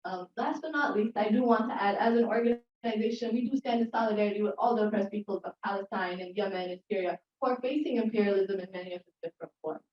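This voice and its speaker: A woman reporting a group's conclusions to a summit audience in a steady, summarizing manner. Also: medium pitch, fast, hardly any pauses. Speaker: panel rapporteur. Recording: echoey room heard from a distance, lo-fi.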